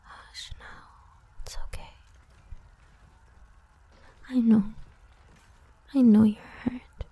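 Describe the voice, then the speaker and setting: A woman playing an obsessive, protective villain with destructive powers, whispering softly and possessively into a close mic in an ASMR roleplay. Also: a little high, steady tone, extremely slow, long pauses, husky, no echo, muffled recording, full character voice. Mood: soothing, possessive.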